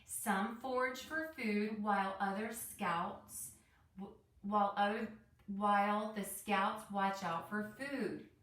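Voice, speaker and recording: A woman voicing a female zookeeper who gives a children's storybook tour in a measured, instructive way. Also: medium pitch, a bit bright. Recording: roomy echo, distant mic.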